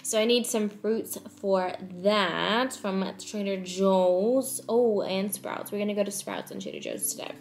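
A woman's voice: mid-range voice, fairly even intonation, a little slow, hardly any pauses, slight background noise. A woman in her twenties chatting casually to camera in a lifestyle vlog, upbeat and full of "like" fillers. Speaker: lifestyle vlogger. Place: home kitchen and car.

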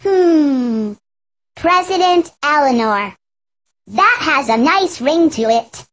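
A woman voicing a modest, gentle young girl elephant in a children's learning game, speaking deliberately. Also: high-pitched, lively intonation, exaggerated voice. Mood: modest, dreamy. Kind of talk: children's educational game acting.

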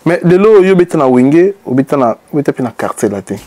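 A man's voice: on the high side, animated, fast talker, warm tone, dry recording. A young man interviewing a guest in a small studio, asking questions and cutting in often, on a lapel mic. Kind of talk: casual interview chat. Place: small indoor studio set.

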